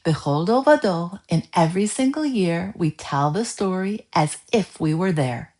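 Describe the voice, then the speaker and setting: Lower-pitched woman reading a storybook. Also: lively intonation, normal speed, a little mellow. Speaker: storybook reader. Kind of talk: expressive read-aloud narration of a children's story.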